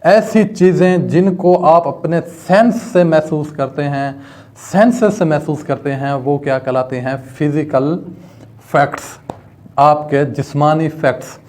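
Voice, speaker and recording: A man's voice: on the high side, a little slow, a little mellow. A man with a Pakistani accent lecturing as a law teacher, didactically reading out and explaining material, often posing questions and answering them himself.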